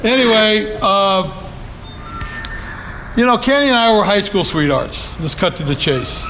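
A man's voice: sits high, unhurried, over music, rough recording. An older man sharing his story at a support group meeting, rambling and folksy with many fillers, speaking into a handheld mic in a meeting room. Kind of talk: personal share at a recovery meeting. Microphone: handheld microphone.

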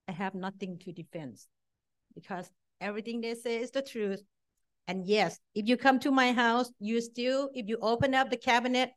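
A middle-aged woman from Thailand with a Thai accent, giving a blunt, self-deprecating personal testimony at a meeting in short punchy phrases. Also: medium pitch. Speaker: speaker at a support-group meeting.

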